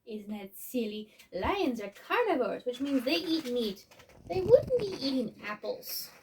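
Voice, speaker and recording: Woman as an animated, high-voiced silly robot host. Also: unhurried, noisy background. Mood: chatty, playful. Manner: playful, sing-song, exclamatory, talking to small children. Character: chatty, silly female robot hosting story time. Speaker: story-time host. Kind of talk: children's read-along with asides.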